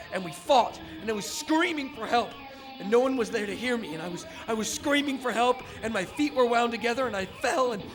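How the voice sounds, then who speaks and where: A man plays a defiant, haunted son in a live radio drama. His voice sits fairly high and he speaks in halting, intense, repetitive phrases. Music runs clearly behind him. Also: lightly acted, poor audio. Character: haunted, defiant adult son who escaped underground. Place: stage before a studio audience.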